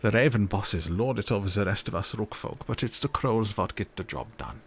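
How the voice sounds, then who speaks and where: A man's voice: on the low side, moderate pace, creaky, a little hiss or hum. A man voicing a cocky, world-wise working crow villager in a video game, with folksy, colloquial speech. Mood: proud.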